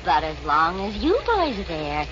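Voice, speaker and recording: An actress playing a feisty, coy old grandma in a 1940s Western radio comedy, theatrical and folksy. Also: female voice, on the low side, wildly swooping pitch, moderate pace, a bit bright. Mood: doting, coy. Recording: noisy, dull 1940s radio recording.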